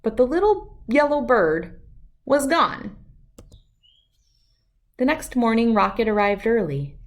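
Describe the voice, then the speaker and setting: A woman reading a children's story aloud in an expressive, deliberate, dramatic narrating style. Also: fairly high pitch. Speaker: storybook reader.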